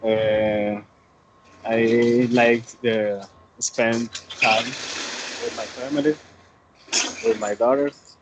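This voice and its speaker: Slow hesitant Colombian man. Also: mid-range voice, flat delivery. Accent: Colombian Spanish. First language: Spanish. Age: 30s. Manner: hesitant, many fillers and restarts. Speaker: student. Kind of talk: self-introduction in a class video call. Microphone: video call. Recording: muffled call audio, faint noise.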